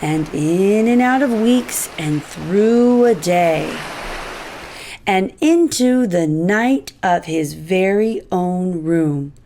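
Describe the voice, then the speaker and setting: A woman hosting a children's read-aloud, narrating a storybook expressively and deliberately for young listeners. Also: on the low side, lively intonation, warm tone, slight background noise. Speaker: host reading children's books aloud.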